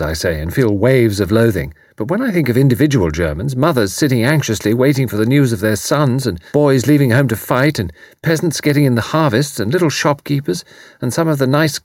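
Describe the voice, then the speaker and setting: A man's voice: medium pitch, no room sound, clean studio sound, voice pushed up. A man voicing a sensible, kindly British woman, robust and earnest in her reassurance. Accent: British English. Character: brisk, kindly, sensible Englishwoman in wartime.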